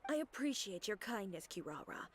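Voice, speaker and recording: A woman's voice: mid-range voice, sing-song, moderate pace, slight background noise, close to an everyday voice. A woman playing a proud, coolly assertive young fashion designer in a video game, composed, cutting and formal. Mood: cool indignation.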